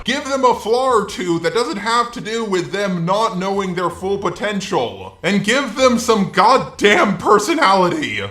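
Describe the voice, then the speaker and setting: A man's voice: high voice, animated, measured pace, hardly any pauses, pushed and bright, roomy sound. A man's synthetic robot voice delivering scripted, opinionated video commentary in a sarcastic, sweary manner. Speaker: video essay host.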